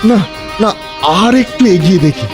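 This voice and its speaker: A man acts out a humble, earnest cartoon servant with a very high voice. He frets and pleads, repeating phrases in melodramatic style over clear background music. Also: expressive, normal speed. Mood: weary, anxious. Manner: pleading, breathless repetition of phrases. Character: humble, loyal freed male servant, earnest and fretful. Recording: prominent music behind the voice.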